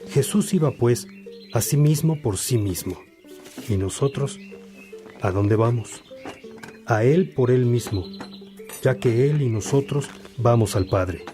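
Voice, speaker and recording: A man playing a thoughtful, fraternal monk and preacher in a radio drama, speaking in a measured, didactic way. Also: mid-range voice, natural voice. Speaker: actor in a religious radio drama.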